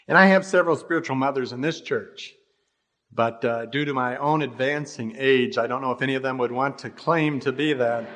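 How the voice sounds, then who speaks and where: An older American man preaching an expository sermon in a measured, deliberate way, stressing phrases and letting the endings fall away. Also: mid-range voice, fairly bright tone. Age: older, about 60. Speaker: pastor. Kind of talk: expository sermon with scripture readings.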